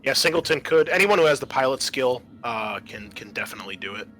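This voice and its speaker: A man improvising narration as game master of a tabletop game, explaining things in a halting way. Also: mid-range voice, lively intonation, bright, forceful tone, slight background noise.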